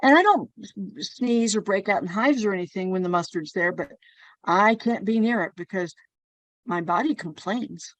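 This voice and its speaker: A woman hosting a relaxed talk show, chatting through personal stories. Her voice sits at an ordinary middle pitch. The sound is close and dry, with little room echo. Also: normal speed. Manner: chatty storyteller, personal anecdotes. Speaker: podcast host. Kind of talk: casual conversation.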